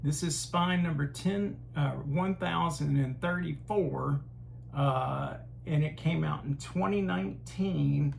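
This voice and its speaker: A man hosting a casual film collection review, talking haltingly with frequent pauses and fillers. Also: fairly high pitch, vocal fry, slight background noise. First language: English. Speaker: host.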